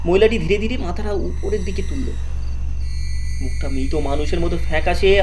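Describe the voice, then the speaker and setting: A man's voice: high-pitched, noisy background. A man narrating a horror story in a dramatic, scripted storytelling style.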